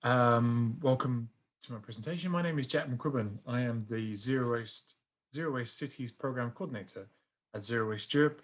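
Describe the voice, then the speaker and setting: A man's voice: medium pitch, moderate pace. A British man giving a recorded conference presentation in an informal, slightly hesitant way. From United Kingdom. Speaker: programme coordinator presenting.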